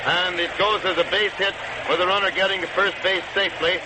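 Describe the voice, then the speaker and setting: Old 1930s radio baseball guy, kinda high voice, matter of fact calls, super noisy hissy recording. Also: fairly quick. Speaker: baseball play-by-play announcer. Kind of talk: 1930s live radio sports commentary. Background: loud steady background noise.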